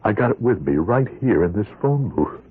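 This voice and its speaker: A desperate, bitter middle-aged ex-convict in a vintage radio play. His voice is low and badly husky, swinging widely in pitch, on a muffled old recording. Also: big pitch swings, moderate pace, exaggerated voice. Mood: urgent, haunted. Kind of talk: old radio drama acting.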